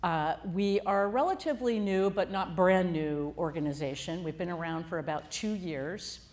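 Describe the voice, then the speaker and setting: A woman's voice: on the low side, normal speed, a little mellow. A woman host introducing a health talk to a live audience in a room, chatty and loose, with frequent fillers. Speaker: CEO hosting a health talk.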